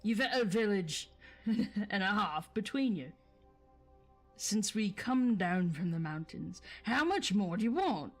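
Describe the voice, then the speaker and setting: A woman voicing a surly, coarse male troll with a rough put-on accent in a storybook reading. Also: medium pitch, animated, slow pace, soft background music.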